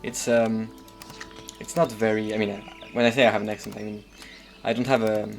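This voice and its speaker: A man's voice: fairly low pitch, flat delivery, fairly quick, faint music behind. A man from the southern suburbs of Paris with a French accent, casually commenting while playing a game and thinking aloud with lots of hesitant fillers. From southern suburbs of Paris, France. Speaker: streamer.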